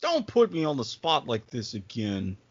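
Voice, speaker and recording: A man's voice: medium pitch, wildly swooping pitch, normal speed, slightly rough, no echo. A man chatting casually as a co-host on a podcast panel. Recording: dry, dull lo-fi recording.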